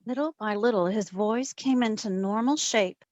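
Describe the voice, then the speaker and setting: A woman playing a wisecracking, hard-boiled private detective in an old-time radio drama, telling her case in a wry, deadpan way. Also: on the high side, moderate pace, dry recording, close to an everyday voice. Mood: dazed, wry. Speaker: lead actor in community theatre radio recreation. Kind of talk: old-time radio detective acting, first-person narration and dialogue. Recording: dull lo-fi recording with music underneath.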